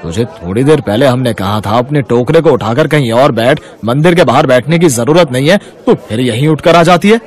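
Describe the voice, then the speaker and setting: A man acts out a bossy shopkeeper in a moral-story cartoon. He is brusque, scolding and hard-hearted, with a medium-pitched voice. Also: lively intonation, normal speed, few pauses, close to an everyday voice. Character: bossy, hard-hearted male shopkeeper shooing away poor vendors.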